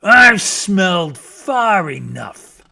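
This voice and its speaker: Man voicing a slow, boastful growling storybook bear. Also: high voice, sing-song, vocal fry, bright, forceful tone, pitched above the performer's own voice, heavily acted. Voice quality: growling, snorting. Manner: bragging, emphatic, drawn-out words. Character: boastful, scornful big male bear, storybook animal. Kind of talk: theatrical children's storybook reading.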